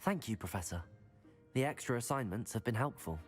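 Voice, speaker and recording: An actor playing a polite, composed young student of magic in a video game. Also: male voice, medium pitch, expressive, fairly quick, faint music behind, lightly acted. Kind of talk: video game cutscene acting.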